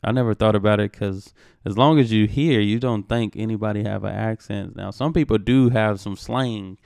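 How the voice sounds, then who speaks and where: A Texan man hosting a reaction video, talking loosely and rambling with lots of ums and you-knows. His low voice has a gravelly edge, yet it comes through clean and resonant. The sound is close and dry. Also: normal speed, a little mellow, no echo. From Texas, USA. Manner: rambling, chatty, lots of fillers. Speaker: reaction channel host. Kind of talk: casual reaction commentary.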